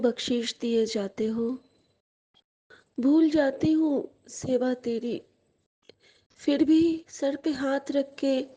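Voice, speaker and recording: Slow, reverent woman reciting a prayer. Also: on the high side, warm tone. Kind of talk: devotional recitation of thanks.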